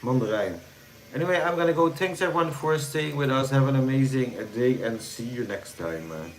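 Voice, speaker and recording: A man from the Netherlands with a Dutch accent, chatting casually with viewers on a livestream in a relaxed, rambling way while reading their comments. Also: mid-range voice, brisk, echoey room, a little hiss or hum, low-quality recording. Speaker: livestream host. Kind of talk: casual live chat answering viewers.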